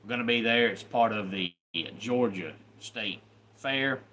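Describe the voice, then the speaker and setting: A man's voice: medium pitch, unhurried, sharp tone, a little hiss or hum. A man with a Southern cowboy accent hosting a casual live stream, greeting viewers by name and plugging upcoming wrestling shows in an announcer's manner. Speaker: YouTube live host and wrestling referee. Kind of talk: casual livestream chat.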